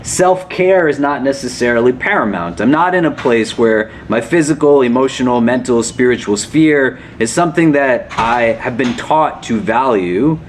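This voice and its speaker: Casual imam teaching a class. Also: male voice, mid-range voice, expressive, moderate pace, hardly any pauses, a little hiss or hum. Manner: casual, questioning, often asks "do you know?". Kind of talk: conversational religious lesson.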